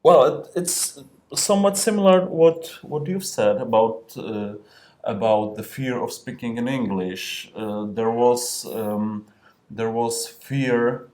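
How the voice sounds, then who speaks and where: A man from Silesia whose first language is Silesian, a novelist speaking on a festival panel in careful English with many pauses and restarts. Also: mid-range voice, a little mellow. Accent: Silesian-accented Polish. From Silesia, Poland. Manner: hesitant, many fillers and restarts. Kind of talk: panel discussion. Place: festival stage.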